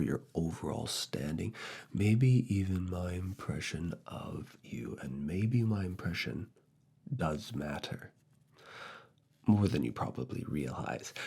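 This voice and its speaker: A man playing a firm, teasing, quietly seductive university professor in soft, intimate ASMR roleplay, speaking deliberately in a husky, breathy voice with whispered phrases. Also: full character voice. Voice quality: husky, breathy, low gravelly voice. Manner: slow, deliberate, pauses between short phrases, slipping into whispers.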